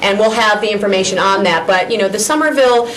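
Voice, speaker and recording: A woman's voice: medium pitch, fast, a bit bright, slight background noise, low-quality recording. A woman hosting a local TV talk show in a small studio, chatting and teasing young guests playfully. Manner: playful, teasing, jokes with kids. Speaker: host.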